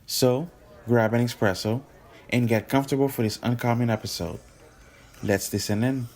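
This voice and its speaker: A man's voice: medium pitch, steady tone, moderate pace, a little mellow. A man announcing a podcast episode in a scripted introduction.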